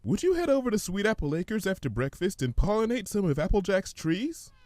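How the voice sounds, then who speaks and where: A man voicing a timid, gentle young female pony in a fan fiction reading, polite, hesitant and soft-spoken. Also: sits high, very animated, a little fast, voice pushed up. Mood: sweet, polite. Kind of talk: fan fiction audiobook character voice.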